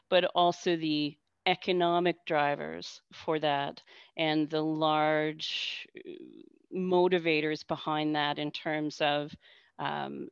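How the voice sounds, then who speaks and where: Low, gravelly, slow-speaking woman. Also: no echo, highs cut off. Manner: slow, deliberate, hesitant with ums. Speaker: panelist. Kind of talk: panel discussion. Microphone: video call.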